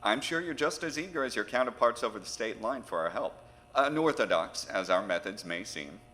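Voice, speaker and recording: A man's voice: lowish voice, expressive, a little fast, pushed and bright. A man playing a courteous, dry federal agent in an audio drama, speaking politely and formally. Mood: polite, businesslike. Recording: reverberant room with faint noise.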